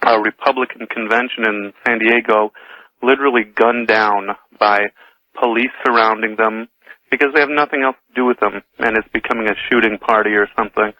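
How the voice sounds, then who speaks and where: A man calling in to a radio show, giving his opinion informally and a bit haltingly. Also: lowish voice, fairly even intonation, bright, forceful tone. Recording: muffled lo-fi radio call.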